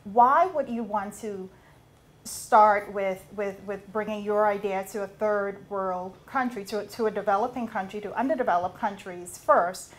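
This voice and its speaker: A woman from the Bahamas speaking as a judge in a pitch competition, giving feedback and questions in a deliberate, emphatic way.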